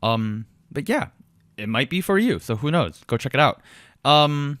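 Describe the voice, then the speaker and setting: A man's voice: mid-range voice, very animated, unhurried, a bit bright, dry recording, studio quality. A man co-hosting a music podcast in casual chat, rambling with frequent ums and self-corrections. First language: Korean. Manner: rambling, many ums and restarts, laughs at himself. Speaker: podcast co-host.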